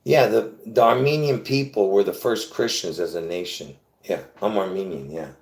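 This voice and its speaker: A man's voice: lowish voice, moderate pace, a little mellow. A man hosting a casual solo livestream, talking in a rambling, chatty way with frequent laughs and restarts. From born in Lebanon. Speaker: livestream host. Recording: roomy echo.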